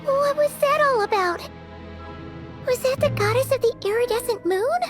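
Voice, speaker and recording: A woman voicing a tiny, excitable, childlike fairy companion in a video game. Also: normal speed, music in the background. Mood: curious, hopeful. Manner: childlike, squeaky, refers to herself by name. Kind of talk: cartoonish video game voice acting.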